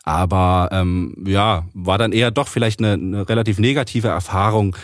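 A man's voice: low voice, normal speed, dry recording, studio quality. A man speaking as an interview guest, explaining things casually and a little hesitantly as he thinks aloud. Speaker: interview guest, author and poetry slammer.

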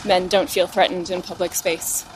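A woman's voice: on the low side, little pitch movement, normal speed, slight background noise, low-quality recording. A woman, a writer, talking casually outdoors on a city street, hesitant and searching for her words. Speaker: writer and guest. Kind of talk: casual conversation while walking.

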